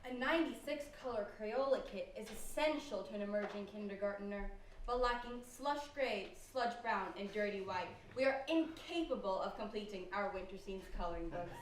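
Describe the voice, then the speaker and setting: A woman's voice: medium pitch, moderate pace, natural voice. A young woman playing a grandiose, scolding kindergartner in a mock-tragic stage comedy, declaiming melodramatically. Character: grandiose, scolding kindergarten child at a birthday party. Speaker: amateur stage actor. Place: indoor stage, recorded from afar. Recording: echoey hall, distant mic, loud noise.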